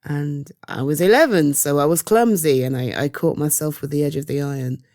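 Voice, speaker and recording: Casting: actress playing caring British woman for intimate ASMR roleplay; low, mellow, halting, reassuring delivery. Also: no echo, studio quality. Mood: gentle reassurance. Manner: hesitant, soft reassuring, halting pauses. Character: caring, reassuring woman comforting a loved one. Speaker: voice roleplay performer. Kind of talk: ASMR roleplay, one-sided intimate conversation.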